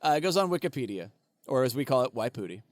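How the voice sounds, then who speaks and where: A man's voice: medium pitch, big pitch swings, fast talker, dry recording. A man co-hosting a comedy podcast, riffing in casual banter and laughing often. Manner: joking, laughs often, goofy riffs. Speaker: podcast co-host.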